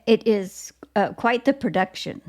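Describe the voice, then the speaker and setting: A woman hosting a conversational podcast interview, speaking haltingly in short phrases as she searches for words. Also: mid-range voice, expressive, darkish tone. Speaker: podcast host.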